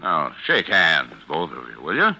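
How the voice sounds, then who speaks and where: A man's voice: very deep voice, wildly swooping pitch, normal speed, sharp tone, slight background noise, exaggerated voice. An actor playing a fatherly, reassuring law office boss, middle-aged, with an American accent and an earnest manner, in 1940s radio style. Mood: placating. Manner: earnest, avuncular. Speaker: defense attorney, head of a law office. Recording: muffled vintage radio recording.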